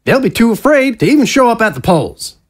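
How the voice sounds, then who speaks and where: Slick politician, high voice that swings all over, audio drama, chummy backroom talker. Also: male voice, big pitch swings, a little fast, full character voice. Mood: persuasive, insistent. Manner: folksy, smooth, talkative insider. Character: slick, glad-handing male conservative politician.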